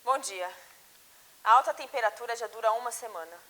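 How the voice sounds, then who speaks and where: A woman's voice: a little high, brisk, sharp tone, rough recording. A woman playing a composed news presenter, reading formal, informative items in a mock television broadcast. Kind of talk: mock scripted newscast.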